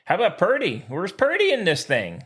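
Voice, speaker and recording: A man co-hosting a sports podcast, bantering casually in an excitable, opinionated and slangy way. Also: high-pitched, fairly quick, slightly rough.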